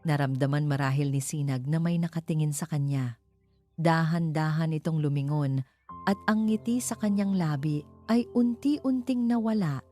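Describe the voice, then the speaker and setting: A woman's voice: low voice, normal speed, warm tone. A woman reading a scripted story as its narrator, over background music. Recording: clear music bed under narration.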